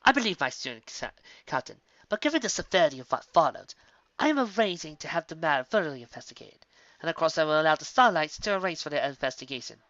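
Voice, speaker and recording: Guy doing a grave old pony princess, formal and measured, lifted a bit higher, bright dry lo-fi sound. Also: big pitch swings, no room sound. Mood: stern. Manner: formal, measured royal diction. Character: regal ancient female alicorn princess, formal.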